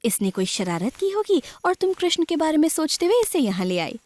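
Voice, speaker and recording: A woman playing a calm, indulgent village mother in a children's cartoon, soothing and gently teasing. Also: high-pitched, brisk, no echo. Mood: amused, soothing.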